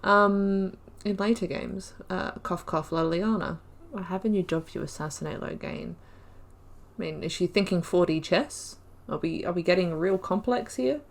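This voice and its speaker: A woman's voice: lowish voice, moderate pace. A woman commenting on her own video game playthrough, thinking aloud, rambling and correcting herself mid-sentence with casual, slangy asides. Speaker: gaming channel host. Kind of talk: let's-play commentary.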